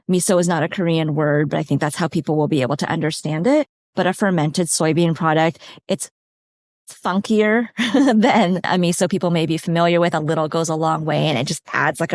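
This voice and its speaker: A woman with a slightly low voice talks through nutrition as a podcast guest. She explains things in a practical, step-by-step way. Her voice is recorded close and dry, with no room sound. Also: a little mellow, dry recording. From California, USA. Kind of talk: conversational expert explanation.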